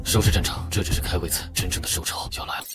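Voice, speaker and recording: Aloof apocalypse fighter, very deep voice, terse, commanding, animated-comic drama, loud score underneath. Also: male voice. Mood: cool, taunting. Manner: cool, terse, unhurried and commanding. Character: aloof, battle-hardened man, powerful calm fighter in apocalypse. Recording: loud music behind the voice. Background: loud dramatic music.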